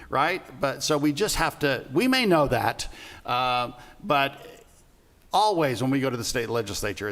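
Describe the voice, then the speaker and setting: A man's voice: mid-range voice, fairly bright tone. Wry man telling stories in a reverberant hall. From Puerto Rico. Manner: anecdotal, wry, conversational. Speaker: panelist. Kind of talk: panel discussion. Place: event hall.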